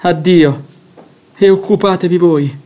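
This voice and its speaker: High-voiced, exasperated gentleman. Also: measured pace. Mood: dismayed. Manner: exasperated, theatrical. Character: indignant, duped wealthy head of household. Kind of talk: radio drama acting.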